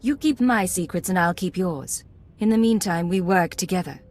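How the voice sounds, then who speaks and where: A woman acting as a suspicious imperial agent in a video game. Her voice sits on the low side, and she speaks in a curt, controlled, commanding manner with a hard edge. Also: normal speed, darkish tone, lightly acted. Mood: wary, cool.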